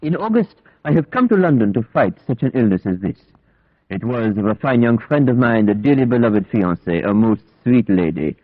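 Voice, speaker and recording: Courteous foreign professor, formal phrasing, animated pitch, dry thin radio-play sound. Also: male voice, normal speed, no room sound. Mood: grave, sorrowful. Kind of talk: vintage radio drama acting. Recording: dry, tinny old radio recording.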